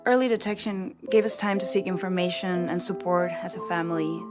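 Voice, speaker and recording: A woman's voice: lowish voice, fairly even intonation, brisk, lightly acted. A woman playing a concerned, caring adult daughter in a scripted testimonial ad. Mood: gentle, earnest. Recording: piano music behind voice.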